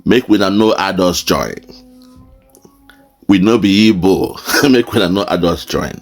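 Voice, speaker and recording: A man's voice: low-pitched, measured pace. A man with a Nigerian accent hosting an online show, talking back to a played clip in a casual mix of Pidgin and English. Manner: lively, mixes Nigerian Pidgin and English, laughs often. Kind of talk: casual commentary.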